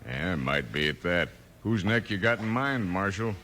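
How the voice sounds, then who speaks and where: Casting: menacing saloon boss, very sharp, forceful, lowish American voice, sarcastic, vintage radio villain. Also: male voice, lively intonation, normal speed. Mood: sneering. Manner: sarcastic, bullying. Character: sneering, menacing gambling-hall boss, western villain. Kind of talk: 1950s radio western acting. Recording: faintly noisy old radio transcription.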